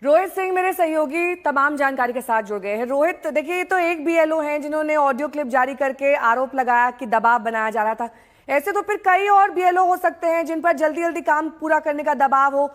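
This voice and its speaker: A woman's voice: high-pitched, a little fast, fairly bright tone. A woman presenting television news as the anchor. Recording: music bed behind broadcast voice.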